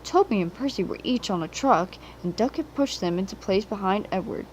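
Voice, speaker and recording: A woman's voice: mid-range voice, lively intonation, brisk, a little hiss or hum. A woman reading a children's story as a scripted narration, on an amateur home recording.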